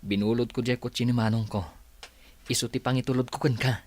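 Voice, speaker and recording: A man's voice: medium pitch, fairly even intonation, brisk, close to an everyday voice. A man acting a polite, patient suitor who was a security guard, in an Ilocano radio comedy drama, with a Filipino Ilocano accent. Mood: earnest, courting. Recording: loud hum and noise behind.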